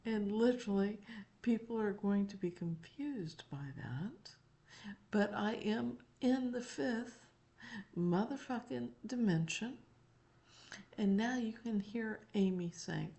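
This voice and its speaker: Woman channeling a slow, profane old gentleman. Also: mid-range voice. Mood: insistent, emphatic. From North Carolina, United States. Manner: slow, emphatic, deliberate, profane. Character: profane, folksy elderly Southern gentleman former president. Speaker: spiritual channeler. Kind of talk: channeled monologue in character.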